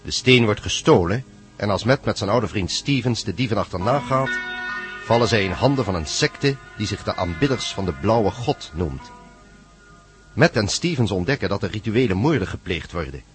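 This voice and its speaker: A man's voice: on the low side, lively intonation, normal speed, over music. A man narrating a radio drama synopsis from a script, in Dutch.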